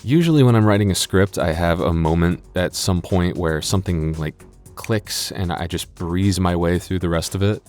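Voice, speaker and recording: Deep-voiced man, halting casual chat. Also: a little mellow, faint music behind. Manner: halting, self-deprecating, joking. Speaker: audio roleplay creator and voice actor. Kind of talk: casual comedic outro to listeners.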